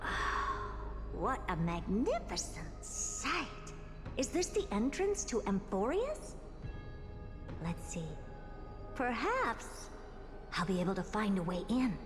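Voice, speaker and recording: An actress voicing a haughty, curious young genius in a video game, musing aloud in an imperious, theatrical way. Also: female voice, medium pitch, wildly swooping pitch, takes it slowly. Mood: musing, disdainful. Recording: long hall reverb, music underneath.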